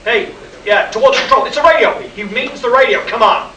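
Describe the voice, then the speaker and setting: A man in a live radio play acting a skeptical military colleague, brisk, placating and exasperated. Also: extremely high pitch, bright, forceful tone. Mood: alarmed. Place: convention hall stage, live performance. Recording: echoey distant live hall recording, faint noise.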